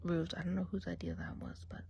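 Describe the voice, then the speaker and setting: A woman playing a friendly, gossipy witch of the woods in a hushed, chatty ASMR role play, in a soft breathy whisper. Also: on the low side, wildly swooping pitch, fairly quick, muffled recording, a little hiss or hum, heavily acted.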